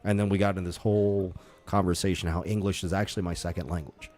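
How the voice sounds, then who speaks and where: A man with a very low, deep voice talks casually on a podcast, stumbling through restarts and fillers. Taiwanese was his first language. Faint music plays behind him. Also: fairly even intonation, a little mellow. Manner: halting, many restarts and fillers. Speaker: podcast co-host and festival performer.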